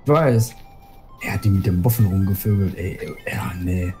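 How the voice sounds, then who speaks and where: A man's voice: low-pitched, normal speed, warm tone, soft background music. A young man with a cold, grumbling and exasperated as a co-op gaming partner. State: has a cold. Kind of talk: casual gaming chat.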